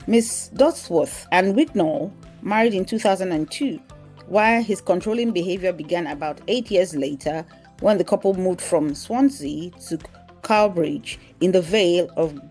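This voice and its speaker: A woman's voice: fairly low pitch, a little mellow. A woman narrating a scripted news article aloud as a newsreel presenter.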